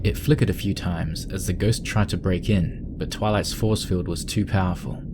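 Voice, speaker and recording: A man's voice: deep voice, fairly even intonation, normal speed, heavy background noise. A man working as narrator, reading a scripted horror story dramatically.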